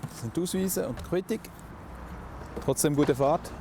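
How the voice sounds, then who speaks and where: A man's voice: a little high, normal speed. A man with a Swiss German accent speaking as a police officer, explaining things in a polite, matter-of-fact way. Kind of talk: explaining his work in conversation. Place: on location by a motorway. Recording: clear outdoor traffic and vehicle noise.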